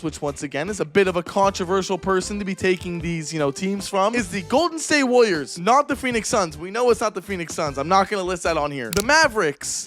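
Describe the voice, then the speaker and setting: Male sports video host, high-pitched voice, casual and opinionated delivery, conversational, light music underneath. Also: fairly bright tone. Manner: informal, conversational, occasional swearing. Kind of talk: casual opinionated basketball commentary to camera.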